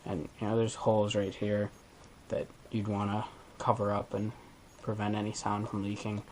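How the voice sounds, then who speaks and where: A man's voice: on the low side, completely flat delivery, normal speed, darkish tone, slight background noise. A man hosting a DIY video, talking through a hands-on tutorial in a casual, informal way as he thinks aloud.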